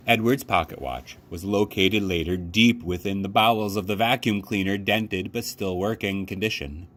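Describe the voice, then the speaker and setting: A man reading a children's book aloud in an expressive storytelling style. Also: low-pitched. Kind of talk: expressive read-aloud narration. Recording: faint background noise.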